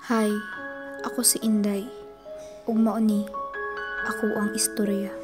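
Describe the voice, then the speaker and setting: A woman's voice: medium pitch, little pitch movement, unhurried, over music, close to an everyday voice. A teenage girl playing a struggling, determined student, narrating her life story plainly in an amateur radio play. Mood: sombre, determined. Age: teens.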